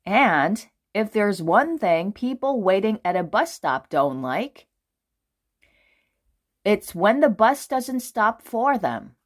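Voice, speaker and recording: Slow, very animated woman storyteller. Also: mid-range voice. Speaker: children's book reader. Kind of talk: expressive storybook narration for children.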